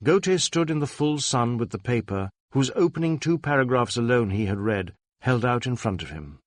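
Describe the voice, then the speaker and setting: A male narrator reads detective fiction in a scripted, dramatic storytelling style. His pitch is mid-range and moves expressively, and the sound is dry and close. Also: lively intonation, normal speed, dry recording. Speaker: audiobook narrator.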